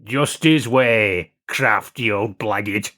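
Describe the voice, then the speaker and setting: A man's voice: lowish voice, takes it slowly, sharp tone. A man voicing an elderly, crabbed male lay preacher who is jealous and sour, throwing in short, grudging remarks in a storybook reading. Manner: terse, grudging interjections.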